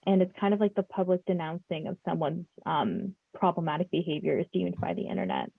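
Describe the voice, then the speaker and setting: A young woman hosting a casual podcast chat, talking in a relaxed way with lots of fillers. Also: lowish voice, fairly quick, creaky, husky, dry recording, phone-quality sound. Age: young adult. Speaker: podcast host.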